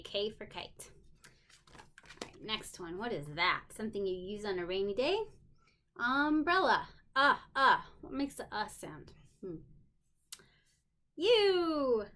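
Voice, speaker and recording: A woman's voice: on the high side, bright, forceful tone, a little hiss or hum. A woman teacher talking to young children in a sing-song, exclamatory way as she leads a lesson and read-aloud. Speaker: special education teacher.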